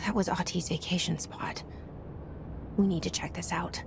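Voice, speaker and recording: An actress playing a guarded young woman director, thinking to herself in a hushed, terse inner monologue. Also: lowish voice, steady tone, normal speed, vocal fry, background noise. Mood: wary, focused. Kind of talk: inner monologue in a video game. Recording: loud music behind voice.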